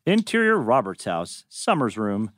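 A man's voice: medium pitch, wildly swooping pitch, measured pace, fairly bright tone, no room sound, studio quality. A man narrating stage directions from a script at a table read.

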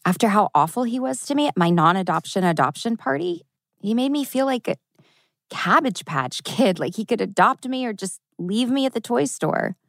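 A woman's voice: fairly high pitch, expressive, normal speed, gravelly, fairly bright tone, dry recording, studio quality. A woman acting an anxious, wry young woman at a teen drama table read. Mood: wry, uneasy.

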